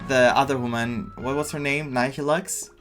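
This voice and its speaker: Male game streamer, casual and excitable commentary, mid-pitched, music behind. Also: moderate pace. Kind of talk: casual let's play commentary.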